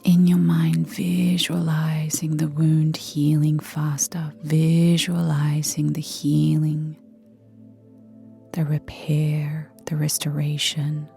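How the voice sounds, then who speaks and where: A woman leading a guided meditation in a soft, breathy near-whisper, gentle and soothing, with unhurried pauses. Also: deep voice, completely flat delivery. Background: soft background music.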